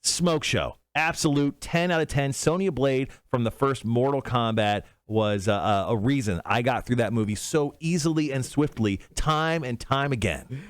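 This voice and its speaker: A man co-hosting a podcast, chatting casually about movies in an informal, emphatic way with some drawn-out words. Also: mid-range voice, no room sound, studio quality. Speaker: podcast host.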